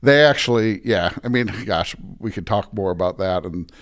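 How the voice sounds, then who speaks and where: Male podcast guest, American pastor type, fairly low register, easygoing conversational delivery. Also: lively intonation, moderate pace. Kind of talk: casual conversational interview.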